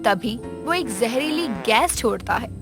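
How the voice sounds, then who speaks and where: A woman's voice: fairly high pitch, lively intonation, a little slow, music in the background. A woman narrating a scripted village story in a dramatic storytelling style.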